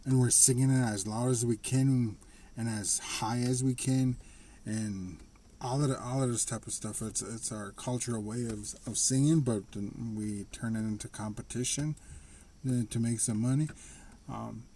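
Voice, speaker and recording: A man talking casually to the camera in a slightly raspy voice, rambling and restarting his sentences. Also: medium pitch, warm tone, slight background noise. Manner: rambling, halting, with frequent restarts and laughs. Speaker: vlogger. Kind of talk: casual personal monologue.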